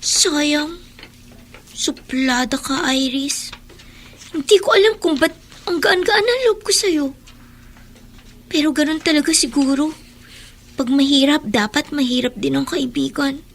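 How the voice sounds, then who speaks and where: High-voiced plaintive Filipina schoolgirl. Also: unhurried, pauses often. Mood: wistful. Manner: earnest, plaintive, hesitant. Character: gentle, hurt young schoolgirl from poor family. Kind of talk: radio drama acting.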